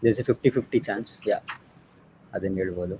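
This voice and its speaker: A man's voice: on the low side, fairly even intonation, very fast, highs cut off. A man with an Indian accent chatting casually as a cricket panelist. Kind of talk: casual sports discussion. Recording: clear background noise.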